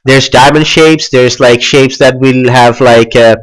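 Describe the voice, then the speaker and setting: A young man hosting a software tutorial in a loose, chatty way, with frequent "like" and "uh" fillers. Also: medium pitch, steady tone, measured pace, low-quality recording. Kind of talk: casual screen tutorial.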